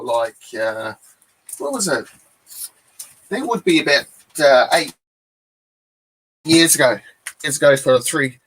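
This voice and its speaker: A man's voice: medium pitch, poor audio. Laid-back New Zealand man chatting. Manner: rambling, relaxed, many fillers and restarts. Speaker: comic collector hosting a live stream. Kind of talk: casual live-stream chat.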